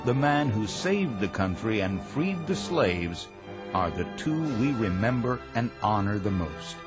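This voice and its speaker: Male documentary narrator, deep and animated, music playing loud behind. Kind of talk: scripted educational narration.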